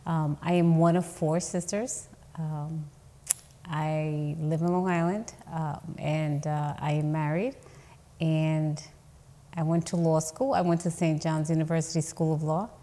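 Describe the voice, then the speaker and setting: Low-voiced woman in her fifties. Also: little pitch movement, unhurried, mellow. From Dominican Republic, raised in New York. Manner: earnest, talks through her story with many ums. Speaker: real estate attorney, podcast guest. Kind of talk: casual interview talk.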